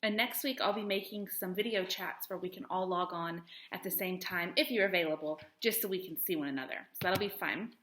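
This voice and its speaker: A woman teacher reading a storybook aloud to children with expressive, deliberate storytelling phrasing. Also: lowish voice, echoey room.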